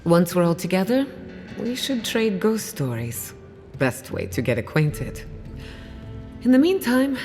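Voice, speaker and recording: Video game companion: confident female researcher, low register, dry wit, guarded, over music. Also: darkish tone. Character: driven, wry female scholar, guarded about family loss. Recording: music playing behind the voice.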